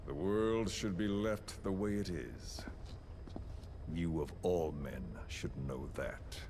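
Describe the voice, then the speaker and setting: An actor playing a composed, middle-aged villain interrogator in a video game, speaking with theatrical politeness and measured, taunting menace. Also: male voice, deep voice, noisy background. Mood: mocking, ominous. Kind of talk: video game dramatic dialogue.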